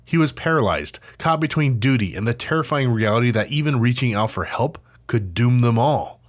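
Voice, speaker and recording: A man narrating an interactive audio drama in a suspenseful, theatrical scripted style with dramatic pauses. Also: mid-range voice, expressive. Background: music.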